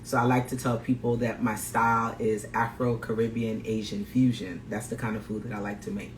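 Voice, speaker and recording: A man's voice: lowish voice, little pitch movement, brisk. A man from Guyana, a plant-based chef and health coach, talking upbeat to viewers of a live cooking demo, greeting them and repeating phrases. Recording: roomy echo, faint background noise.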